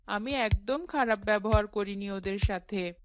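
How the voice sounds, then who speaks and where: A woman's voice: medium pitch, a little slow, a little hiss or hum, lightly acted. A woman voicing a polite, earnest young boy in a cartoon. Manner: earnest, respectful.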